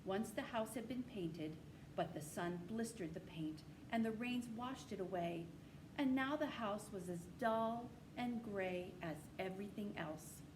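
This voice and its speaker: A woman's voice: medium pitch, a little slow. Woman reading aloud over background noise. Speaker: storybook reader. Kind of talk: reading a children's novel aloud. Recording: clearly noisy amateur recording.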